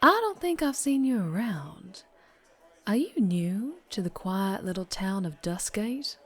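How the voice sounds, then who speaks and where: A woman's voice: medium pitch, big pitch swings, unhurried, fairly bright tone, slightly rough, heavily acted. A woman playing a flirtatious, doting but fierce vampire biker in an ASMR roleplay, with a Southern US accent and a teasing manner. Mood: flirty, welcoming. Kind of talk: ASMR roleplay, intimate character monologue.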